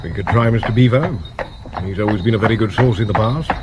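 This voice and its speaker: A man's voice: on the low side, quick pace, very noisy, natural voice. A British man playing a polite, persistent Victorian police inspector in a 1970s radio drama, speaking tentatively. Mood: thoughtful.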